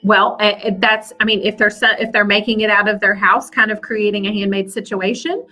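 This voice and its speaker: A woman's voice: medium pitch, fairly even intonation, a little fast, sharp tone. A woman guest explaining her field in a conversational interview, carefully qualifying her points as she goes. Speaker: guest, seller and coach.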